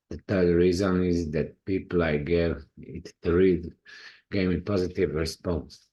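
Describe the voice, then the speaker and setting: Croatian guy talking in english, slow and halting, kinda husky. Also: deep voice, a little mellow. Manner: halting, non-native phrasing with restarts. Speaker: indie author. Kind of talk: personal talk to camera.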